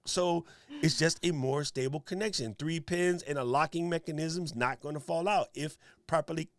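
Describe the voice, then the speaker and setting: Deep-voiced man, dry close sound. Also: moderate pace, no room sound. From Hawaii resident. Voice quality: deep radio voice. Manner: emphatic, punchy, laughs at his own points. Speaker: streaming show co-host. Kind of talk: casual tech discussion.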